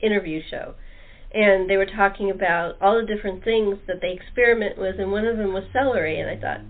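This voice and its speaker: Woman host, mid-pitched, chatty and halting, casual group conversation over narrow, thin call audio. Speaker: talk show host.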